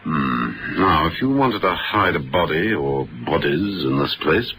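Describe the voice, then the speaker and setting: An actor with a British accent playing a wry, unruffled gentleman sleuth in a vintage TV adventure. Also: extremely low pitch, animated, measured pace, heavily acted. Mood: wry, brisk. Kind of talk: 1960s British TV adventure acting. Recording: very noisy old television soundtrack.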